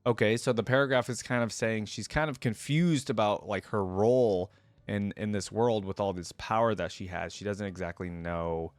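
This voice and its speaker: Low-voiced man, casual reaction host. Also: fairly even intonation, moderate pace, no echo. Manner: informal, slangy. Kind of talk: reading lore aloud with casual fan commentary.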